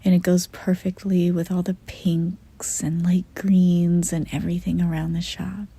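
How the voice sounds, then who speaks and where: Slow, breathy, half-whispering woman guiding meditation. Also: on the low side, flat delivery. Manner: gentle, unhurried, with pauses mid-sentence. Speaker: guided meditation narrator. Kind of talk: soft-spoken guided visualization meditation.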